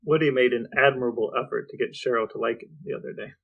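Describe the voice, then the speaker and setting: A man's voice: mid-range voice, fairly even intonation, fast, gravelly, phone-quality sound. A man co-hosting a podcast, chatting casually and posing questions to the people with him. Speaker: podcast co-host.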